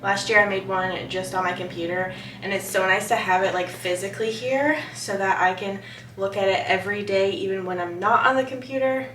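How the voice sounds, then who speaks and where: A woman in her late twenties chats casually to her viewers, relaxed and friendly, as if showing off a craft project at home. Her voice sits at an ordinary middle pitch, and the room around her rings with a noticeable echo. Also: brisk, slight background noise. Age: 20s, about to turn 30. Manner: chatty, relaxed, talks to viewers as "guys". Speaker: vlogger. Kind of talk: casual vlog chat. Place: home office.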